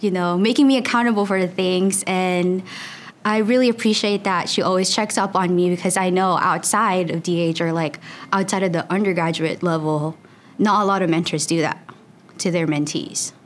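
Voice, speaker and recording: Chatty young woman, lowish voice. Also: fairly even intonation, moderate pace, slight background noise. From Philippines. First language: Tagalog. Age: young adult. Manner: chatty, frequent "like" fillers. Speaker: student researcher. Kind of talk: casual interview answers.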